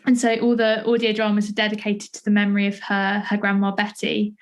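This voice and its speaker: A woman's voice: mid-range voice, completely flat delivery, fairly quick. Young woman, southern English, podcast interviewer, mid-range voice, informal and searching in her phrasing. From Essex, England. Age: 20s. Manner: hesitant, searching phrasing with many hedges. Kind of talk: casual interview chat.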